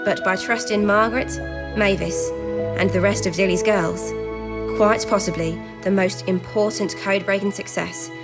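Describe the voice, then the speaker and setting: An actress playing an eager, warm-hearted young female codebreaker in a wartime audio drama. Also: mid-range voice, steady tone, normal speed, over loud music, close to an everyday voice. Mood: reflective. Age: young adult.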